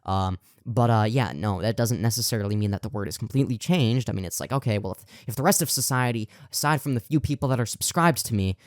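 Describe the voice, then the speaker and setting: A man's voice: fairly low pitch, fast, hardly any pauses, no room sound, studio quality. A young man co-hosting a podcast in casual chat, rambling and contrarian, swearing freely with lots of fillers and restarts. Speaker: podcast co-host.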